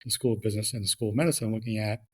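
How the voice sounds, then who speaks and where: A man's voice: on the low side, steady tone, slightly rough. A middle-aged man from the Bronx, a guest speaking over a remote call, talking casually with many fillers and restarts. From New York, the Bronx. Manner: halting, many fillers and repeated words. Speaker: guest, regulatory affairs expert. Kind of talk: casual interview chat.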